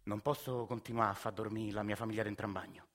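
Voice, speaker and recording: A man, narrating and acting his own story in a radio theatre monologue, soft-spoken and hesitant. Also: on the low side, flat delivery, quick pace. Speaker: narrator and lead. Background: music.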